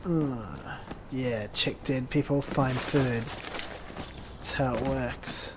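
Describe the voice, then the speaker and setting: A man doing sarcastic, crude video game commentary in a deadpan way. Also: medium pitch, slow pace. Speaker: gameplay commentator voicing the protagonist's thoughts. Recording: game ambience and noise behind voice. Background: video game action sounds.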